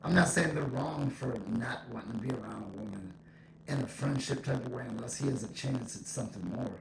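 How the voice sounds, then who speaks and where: Gravelly, echo-laden man talking casually. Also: medium pitch, fairly quick. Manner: conversational, repeats points for emphasis. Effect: echo voice effect. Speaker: vlogger giving opinions. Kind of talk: casual opinion monologue to camera. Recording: echoing voice with faint noise.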